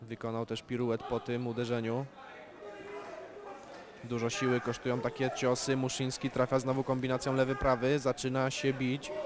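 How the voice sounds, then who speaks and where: A man giving calm, measured live play-by-play commentary on an amateur boxing bout, with technical analysis of the punches. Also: mid-range voice. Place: boxing event venue. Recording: loud crowd in a venue.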